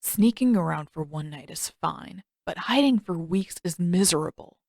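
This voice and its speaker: A woman's voice: lowish voice, expressive, moderate pace, gravelly, darkish tone, no echo, studio quality. A woman voicing a brooding, frustrated teenage prince in a muttered grumble for an audiobook. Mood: sullen grumbling.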